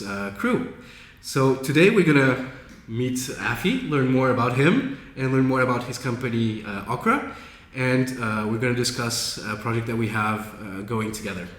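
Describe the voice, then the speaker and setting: A male host with a mid-range voice asks questions in a halting way, filling gaps with hesitations. His voice rings in an echoey room. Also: medium pitch, expressive, fairly bright tone. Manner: hesitant, many uh fillers. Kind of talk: casual interview. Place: co-working space.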